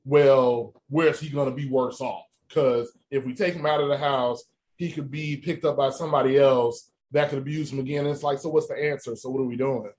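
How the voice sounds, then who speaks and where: A man hosts a casual discussion and argues his points with emphatic energy. His voice sits at an ordinary middle pitch, and a faint hiss stays in the background. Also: brisk. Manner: animated, emphatic opinions. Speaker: host.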